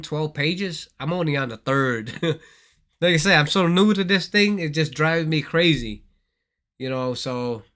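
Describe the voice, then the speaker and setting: A man's voice: medium pitch, sharp tone. A man from Thailand hosting a casual gaming livestream, rambling and repeating his words as he talks straight to his viewers.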